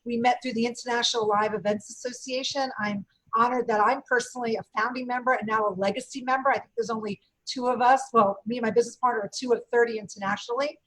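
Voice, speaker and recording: A woman's voice: on the high side, fairly even intonation, quick pace, hardly any pauses, a bit bright, rough recording. A woman from Brooklyn with a New York accent, an event company owner, speaking emphatically on a panel call. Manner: big, emphatic voice. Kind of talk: panel discussion on a video call. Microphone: video call.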